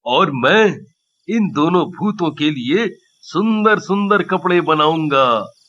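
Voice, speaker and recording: A man voicing a humble, earnest family tailor in a cartoon story, speaking deliberately. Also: fairly high pitch, lively intonation, sharp tone, highs cut off, heavily acted. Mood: earnest, grateful. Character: humble, earnest married tailor, a kindly father. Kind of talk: Hindi cartoon story dialogue.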